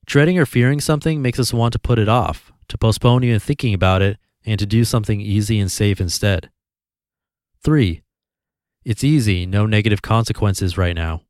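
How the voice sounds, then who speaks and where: Man, podcast host, low voice, calm reading, dry close mic. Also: moderate pace, dry recording. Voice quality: calm, even voice.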